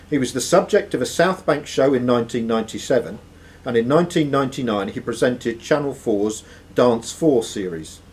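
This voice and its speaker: A man's voice: medium pitch, a little mellow. A man reading quiz questions aloud as host of a live quiz. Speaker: quizmaster.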